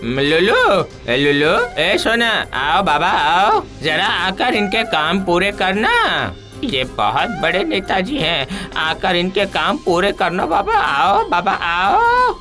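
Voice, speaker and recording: A man's voice: high-pitched, huge pitch swings, slow, music in the background, heavily acted. Wildly swooping wily old village father. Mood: wheedling. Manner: exclamatory, cajoling, theatrical. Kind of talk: animated comedy cartoon acting.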